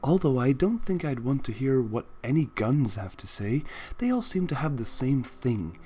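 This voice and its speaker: A man's voice: mid-range voice, big pitch swings, moderate pace, dark tone, slight background noise, close to an everyday voice. A man voicing a sweet, shy, naive young female pegasus pony in a story reading, timid and hesitant. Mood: naive wonder.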